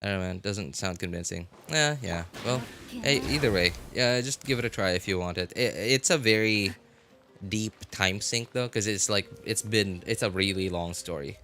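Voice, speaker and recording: A man streaming a game live, chatting casually and haltingly as he thinks aloud. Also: fairly low pitch, sharp tone, a little hiss or hum. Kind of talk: casual rambling livestream chat. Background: music.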